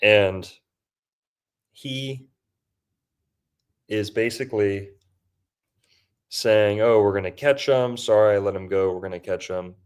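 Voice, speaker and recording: Male podcast host, somewhat low voice, loose rambling delivery, casual talk. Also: takes it slowly, pauses often, smooth. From Florida. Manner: rambling, loose, filler-heavy. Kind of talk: casual conspiracy movie breakdown.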